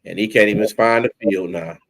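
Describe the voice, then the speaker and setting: A man's voice: lowish voice, brisk, a bit bright, poor audio. A man on a casual sports podcast, laid-back and agreeable.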